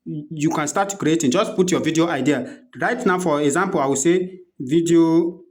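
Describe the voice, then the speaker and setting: A man with a Nigerian accent presenting an unscripted software walkthrough, explaining step by step and repeating his instructions as he goes. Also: fairly high pitch, normal speed. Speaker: tutorial presenter.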